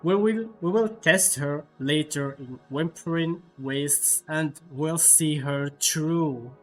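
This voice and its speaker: A man gives casual live commentary as a game streamer. His voice sits a little high and he talks slowly and haltingly, repeating himself, with some music behind him. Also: poor audio. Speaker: game livestream host.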